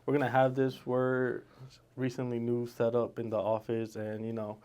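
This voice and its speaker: A man's voice: mid-range voice, monotone, normal speed, warm tone, slight background noise. Playful man co-hosting a podcast. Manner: playful, teasing banter. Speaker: podcast co-host. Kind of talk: casual chat.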